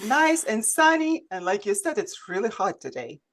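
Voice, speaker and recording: A woman's voice: mid-range voice, sing-song, normal speed, fairly bright tone. A woman hosting a conversational interview show, speaking over a remote video call. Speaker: host.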